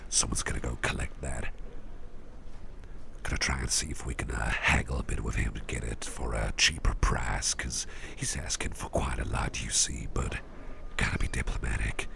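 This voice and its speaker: Man playing a very deep, gravelly whispering boss. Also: steady tone, noisy background, heavily acted. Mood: musing, calculating. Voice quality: very low, gravelly, breathy near-whisper. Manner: unhurried, hesitant, repeats phrases softly. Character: doting, genteel businessman boss, smooth-talking antique hunter. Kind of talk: ASMR roleplay, soft half-whispered. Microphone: close microphone.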